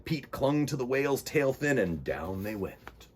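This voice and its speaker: A male storytime host reads to children in a husky, mid-pitched voice. His delivery is theatrical and highly animated, leaning into dramatic emphasis as he narrates. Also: unhurried. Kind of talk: expressive picture-book narration for children.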